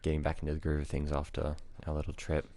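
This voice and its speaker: A man co-hosting a family podcast, casually chatting and telling stories with deadpan humor. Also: very deep voice, fast talker, no echo. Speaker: podcast co-host. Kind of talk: casual chat and storytelling.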